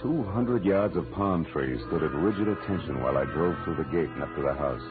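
An actor playing a hard-boiled American private detective in an old radio drama, terse, dry and wry. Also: male voice, a bass voice, lively intonation, brisk. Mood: wry narration. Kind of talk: 1940s radio drama acting with first-person narration. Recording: muffled old-time radio recording with music.

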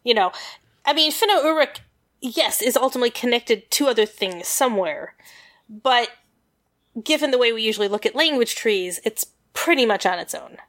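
Woman, fairly high voice, rambling conversational explainer, frequent ums. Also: animated, normal speed, a bit bright. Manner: rambling, many fillers and asides, chuckles while explaining. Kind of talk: casual educational podcast chat.

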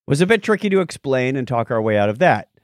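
A man's voice: medium pitch, very animated, fast, no room sound, clean studio sound. A man co-hosting a podcast with casual, joking banter and readings of listener messages. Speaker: podcast co-host.

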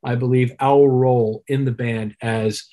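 A man with a husky voice talking thoughtfully and articulately as a musician being interviewed. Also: mid-range voice, fairly even intonation, unhurried, warm tone. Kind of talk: reflective conversational interview.

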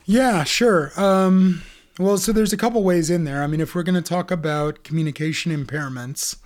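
A man's voice: high-pitched, moderate pace. Thoughtful man explaining ideas. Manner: thoughtful, explanatory, with many fillers. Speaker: author being interviewed. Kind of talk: academic conversation.

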